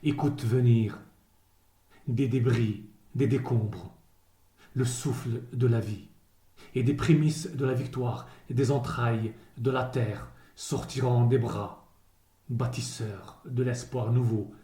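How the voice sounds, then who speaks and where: A man recites verse very slowly in a solemn, measured way. His voice is mellow and soft-edged, with a grave delivery broken by pauses. The recording is roomy, with noticeable echo around the words. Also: mid-range voice, fairly even intonation. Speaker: poet reading a poem.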